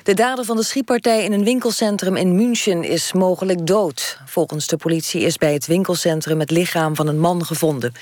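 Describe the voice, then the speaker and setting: A woman's voice: on the low side, brisk, few pauses, darkish tone, no echo, clean studio sound. A woman with a Netherlands Dutch accent reads a scripted radio news bulletin in a formal, factual way. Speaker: radio newsreader.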